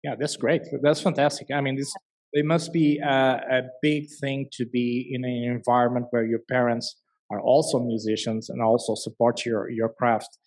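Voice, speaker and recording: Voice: medium pitch, little pitch movement, moderate pace, darkish tone, poor audio. A show host interviewing a guest over a video call, often restarting phrases. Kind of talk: interview questions.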